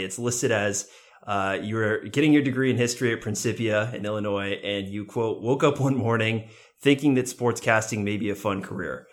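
A man interviewing a guest, asking casual, halting questions with many fillers. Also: on the low side. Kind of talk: podcast interview.

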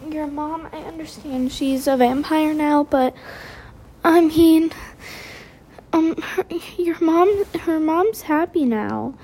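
A woman's voice: high voice, slow pace, dark tone, slight background noise. A young woman hosting a casual solo podcast from her bedroom late at night, chatty and rambling with lots of fillers, sounding tired. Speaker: podcast host.

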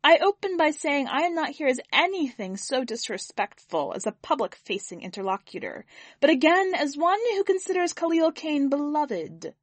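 A woman's voice: high voice, sing-song, no echo, pitched above the performer's own voice. Woman voicing Astald, a formal owl-like alien. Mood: earnest. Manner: formal, measured, unflinching. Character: towering owl-like alien envoy, calm and formal.

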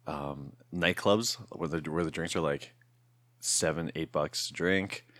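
Deep, hoarse man in his thirties. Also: unhurried, a bit bright, no room sound. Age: 30s. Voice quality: raspy. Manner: rambling, with fillers and laughs. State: hoarse from shouting the night before. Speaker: podcast host. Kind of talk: casual podcast chat.

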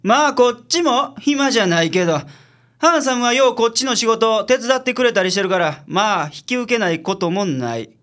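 A gruff male detective as played by an actor with a Kansai accent and very lively intonation. He is sardonic and dismissive, in the manner of comic radio drama. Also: medium pitch, brisk, natural voice. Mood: reluctant, sardonic. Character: grumpy, sardonic Kansai private detective, adult man.

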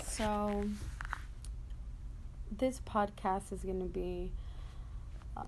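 A young adult woman hosting a personal motivational podcast, speaking casually and candidly in a halting way with an occasional stutter. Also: mid-range voice, steady tone. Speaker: podcast host. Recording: noticeable background noise.

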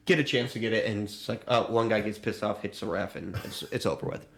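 A man's voice: on the low side, fast, creaky, a bit bright, roomy sound. A man co-hosting a podcast, chatting casually and informally, recapping a show with crude joking. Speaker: podcast co-host.

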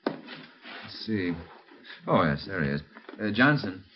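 A man's voice: sits low, very animated, slow pace, exaggerated voice. An actor playing an obliging, slightly bewildered male auction house manager in an old radio drama. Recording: muffled old radio broadcast with loud hiss.

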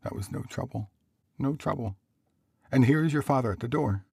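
A man voicing a gentle, sorrowful mother in a storybook, speaking softly and tenderly as she tries to stay steady. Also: mid-range voice, moderate pace, gravelly, breathy edge, no room sound, pitched above the performer's own voice. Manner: soft, steady, tender, faltering slightly.

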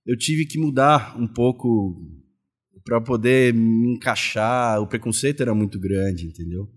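A man's voice: medium pitch, measured pace. A Brazilian man in his forties chatting casually as an interview guest, telling his stories in informal, slangy speech. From Salvador, Bahia, Brazil; moved to São Paulo state as a child. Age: 40s. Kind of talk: casual chat.

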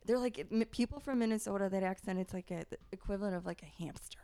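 A woman's voice: mid-range voice, fast, warm tone, clean tone. A woman from Chicago with a Chicago accent, a comedian guest chatting enthusiastically on a podcast. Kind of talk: casual podcast chat.

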